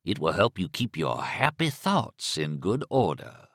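A man's voice: low-pitched, very animated, a little slow, vocal fry, a bit bright, husky, dry recording, clean studio sound, full character voice. A man voicing a menacing male killer who taunts and commands in coaxing, sadistic slang. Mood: menacing, taunting.

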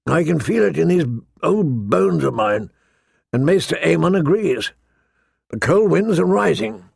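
A man voicing a gruff, grumbling old male military commander who sounds weary and disdainful. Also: on the high side, animated, unhurried, darkish tone, no echo, clean studio sound, heavily acted. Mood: grave.

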